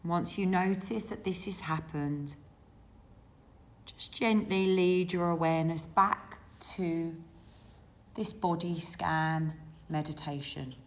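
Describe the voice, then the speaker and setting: A woman leading a guided meditation as a teacher, gentle and soothing, with unhurried phrases and long pauses. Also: on the low side. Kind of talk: guided body scan meditation. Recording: dull, muffled lo-fi sound.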